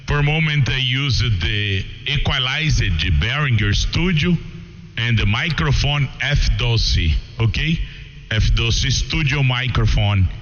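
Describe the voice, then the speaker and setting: A man's voice: medium pitch, slow pace, fairly bright tone, soft background music. A Brazilian man, a native Portuguese speaker, making a ham radio contact in broken English with short phrases over a radio transmission. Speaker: amateur radio operator. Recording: muffled radio audio with long reverb.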